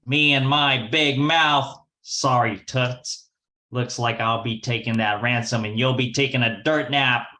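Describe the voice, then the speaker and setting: A man's voice: medium pitch, fairly even intonation, measured pace, sharp tone. A man playing a cocky, slangy young hoodlum in an amateur 1940s-style radio crime play. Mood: menacing. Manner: slangy, tough-talking. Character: cocky young small-time hoodlum kidnapper, slangy, menacing.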